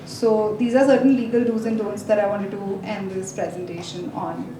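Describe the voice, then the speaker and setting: A woman with an Indian accent giving a legal presentation at a conference, explaining in a measured way with frequent fillers. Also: mid-range voice, fairly even intonation, distant mic. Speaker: legal expert speaker. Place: large conference hall. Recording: echoey hall, speaker off-mic, faint noise.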